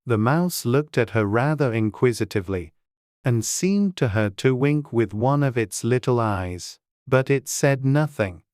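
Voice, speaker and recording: Very low-pitched woman narrating a storybook. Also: animated, a little slow, darkish tone, dry recording, clean studio sound. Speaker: audiobook narrator.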